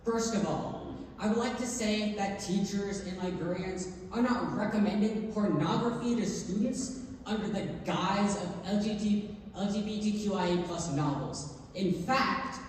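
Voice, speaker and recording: A woman with a mid-pitched voice delivers a formal address as a staged reading. She is heard from afar in a very reverberant theatre with faint noise. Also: normal speed, a little mellow. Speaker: stage performer. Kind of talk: staged reading of a public speech. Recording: echoey theatre hall, distant mic, noise.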